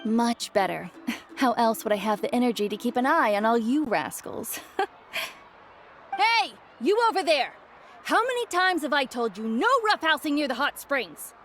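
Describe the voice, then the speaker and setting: A woman's voice: a little high, very animated, normal speed, fairly bright tone, a little hiss or hum. A woman playing a stern, spirited auntie and veteran warrior in a video game, bossy and scolding. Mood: teasing. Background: music.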